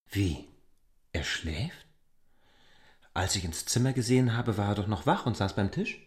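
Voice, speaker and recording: Low-voiced, calculating outsider. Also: male voice, animated, a little slow. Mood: puzzled. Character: bold, cool, calculating male outsider, a land surveyor. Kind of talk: literary radio drama acting.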